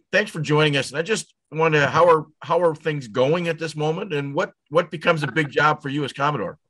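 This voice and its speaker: A man in his sixties hosts an interview over a video call, asking long, rambling questions padded with fillers. His voice sits at an everyday middle pitch. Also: fairly bright tone. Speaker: show host.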